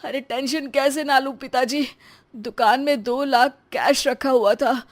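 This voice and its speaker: Woman doing a bragging cartoon husband, loud and showy, kinda high voice. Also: steady tone, a little slow. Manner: boastful, brash. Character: showy spendthrift young husband, boastful. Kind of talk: cartoon dubbing.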